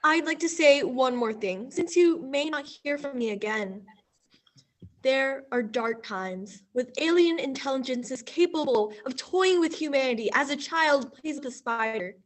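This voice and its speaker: A woman's voice: high-pitched, lively intonation, measured pace. A young female student actor playing a nameless astronomer's assistant in a stage radio play, prim and full of dread. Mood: ominous. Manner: prim, correcting, doom-laden. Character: nameless female lab assistant, gloomy and ominous.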